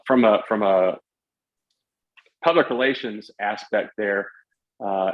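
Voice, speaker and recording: A middle-aged man with a low voice talks as an interview guest. He explains at length in a halting, conversational way, feeling for the next phrase. The sound is dull and lo-fi. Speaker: guest, paraglider pilot and retired air traffic controller. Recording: dull, lo-fi voice audio.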